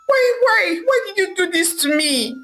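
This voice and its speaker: A woman's voice: extremely high pitch, very animated, moderate pace, a bit bright, voice pushed up, heavily acted. A woman storyteller voicing a desperate young woman who cries and pleads in fear. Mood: anguished protest. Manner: crying, pleading, repeating herself.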